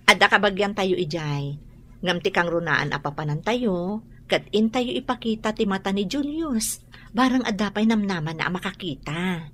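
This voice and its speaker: A woman playing a caring, earnest mother in a radio drama, speaking gently with an Ilocano accent. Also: medium pitch, expressive, normal speed. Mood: earnest, gentle.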